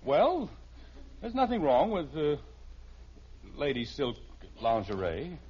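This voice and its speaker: A man's voice: fairly high pitch, wildly swooping pitch, slow, slightly rough, full character voice. A man playing a flustered middle-aged American father in a radio sitcom, hesitant and stammering. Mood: embarrassed. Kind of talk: 1950s radio sitcom acting. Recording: muffled old radio recording, faint noise.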